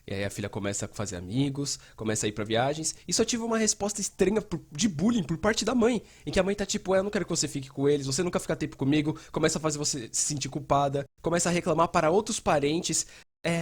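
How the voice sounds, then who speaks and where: A man's voice: a little high, slightly rough. A Brazilian man dubbing a frank, casual psychotherapist who explains things in a conversational way and acts out bits of dialogue. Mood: mimicking, pointed. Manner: animated, acts out quoted dialogue, casual swearing. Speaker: fan dubber voicing a mental health educator. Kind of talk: dubbed conversational explainer talk.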